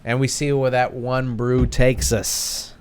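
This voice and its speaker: A man's voice: medium pitch, little pitch movement, takes it slowly, a little mellow, clean tone. A man co-hosting a podcast, chatting casually over a beer and rambling with restarts. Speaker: podcast co-host. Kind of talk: casual chat over beers.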